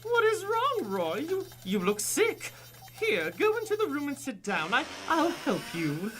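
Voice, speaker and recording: Woman as a shrill, giddy mad scientist. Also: wildly swooping pitch, unhurried, fairly bright tone, slight background noise, full character voice. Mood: cloying, menacing. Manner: theatrical, cackling, stilted formal phrasing. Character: giddy, scheming male mad scientist, cloying and fiendish. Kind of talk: comic radio play acting.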